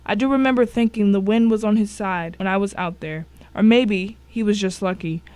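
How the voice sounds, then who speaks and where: A woman's voice: medium pitch, moderate pace, a little mellow, clear, resonant tone, dry recording, natural voice. Woman acting as grim thriller narrator. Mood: grim, tense. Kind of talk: dramatic first-person story narration.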